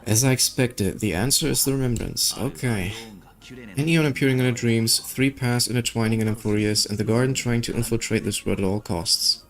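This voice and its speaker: A man's voice: mid-range voice, fairly quick. A man who streams games, reading story dialogue aloud and commenting casually with offhand, skeptical asides. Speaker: gaming streamer. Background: game music.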